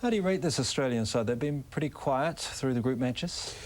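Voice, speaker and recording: A man's voice: mid-range voice, lively intonation, brisk. A man with a New Zealand accent presenting a sports programme, moving between scripted links and questions to a pundit. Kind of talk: broadcast sports presenting and interviewing.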